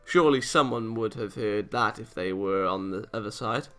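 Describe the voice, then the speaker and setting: A man reading a visual novel aloud as a let's-play narrator, expressive and theatrical, with game music behind him. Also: on the low side, moderate pace, clean tone.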